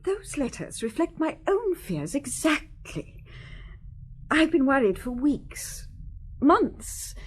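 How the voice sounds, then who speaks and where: A haughty, anxious middle-aged wife of means in a radio detective play. Her high voice swings widely as she speaks imperiously, with pointed, deliberate pauses. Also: sing-song, full character voice. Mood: anxious, insinuating. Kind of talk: radio detective drama acting.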